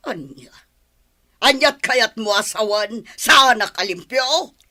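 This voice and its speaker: A woman's voice: fairly high pitch, expressive, slow pace, bright, forceful tone, exaggerated voice. An actress playing a snobbish wealthy mother in a radio comedy drama, speaking haughtily and with disdain. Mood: haughty. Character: snobbish rich mother Esmeralda.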